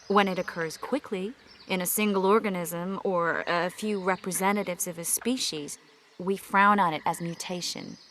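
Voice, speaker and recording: A woman playing a learned sorceress healer in a video game, explaining things in a measured, frank way. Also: fairly low pitch, steady tone, a bit bright, a little hiss or hum. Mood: explanatory.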